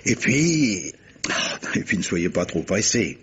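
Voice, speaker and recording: A man's voice: sits low, sing-song, takes it slowly, a bit bright, slightly rough, full character voice. A man playing a worldly, jovial former army captain turned amateur sleuth, theatrical and wry, in an old-style radio mystery. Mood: jovial, leisurely. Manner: theatrical, leisurely, wry, chuckling.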